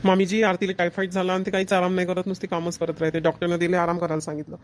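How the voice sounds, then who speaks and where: A man's voice: high-pitched, completely flat delivery, racing, close to an everyday voice. A man voicing a concerned, touchy son-in-law in a family cartoon, earnest and complaining, with a Vidarbha Marathi accent. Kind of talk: family comedy cartoon dialogue.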